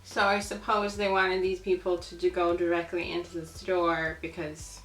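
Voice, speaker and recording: A woman's voice: on the low side, little pitch movement, normal speed, fairly bright tone. A woman hosting a casual video, chatting and marvelling as she reads aloud from old printed material. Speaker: video host. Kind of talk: casual chat while reading aloud from old newspapers. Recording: roomy echo, faint music behind.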